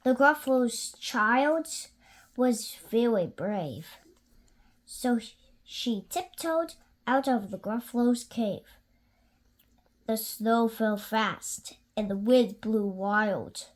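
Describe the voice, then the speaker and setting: Very slow, high-pitched woman storyteller. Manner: deliberate, rhythmic phrasing. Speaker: children's story reader. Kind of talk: expressive picture-book read-aloud.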